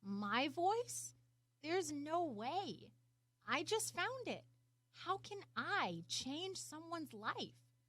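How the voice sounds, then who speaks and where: A woman as a high-pitched, wondering young girl. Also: big pitch swings, very slow, a bit bright, dry recording, full character voice. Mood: doubtful, amazed. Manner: hesitant, wondering. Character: timid young girl newly finding her voice. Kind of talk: storybook character reading.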